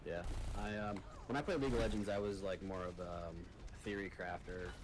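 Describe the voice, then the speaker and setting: American male gamer, lowish voice, casual chat over loud game gunfire. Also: fairly even intonation, brisk, background noise. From United States. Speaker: online gamer. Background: video game gunfire and effects.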